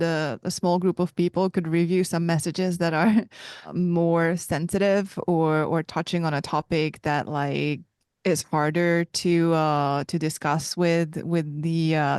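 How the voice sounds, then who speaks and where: A woman from Hungary with a Hungarian accent, co-hosting a casual podcast conversation, thoughtful and pausing to search for words. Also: lowish voice, a little mellow, clear, resonant tone, dry recording, clean studio sound. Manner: thoughtful, restarts and hedges while searching for words. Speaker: podcast co-host.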